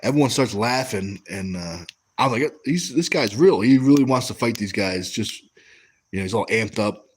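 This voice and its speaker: Low-voiced rambling American man. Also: lively intonation, normal speed, slightly rough. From West Coast of the US. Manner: rambling, many fillers and restarts. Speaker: livestream host reading chat. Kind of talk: casual livestream chat.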